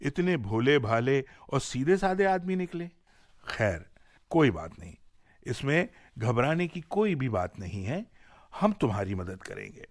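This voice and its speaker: A man's voice: medium pitch, sing-song, unhurried, no room sound. Man voicing a kindly, teasing storybook fairy. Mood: amused. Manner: gentle teasing, reassuring. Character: kindly, amused female well fairies reassuring a simpleton.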